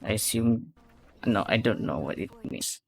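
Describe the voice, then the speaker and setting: A man's voice: fairly low pitch, expressive, unhurried, gravelly, a little hiss or hum. A man hosting a reaction video, giving casual commentary and analysis as he thinks aloud and repeats phrases. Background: faint music.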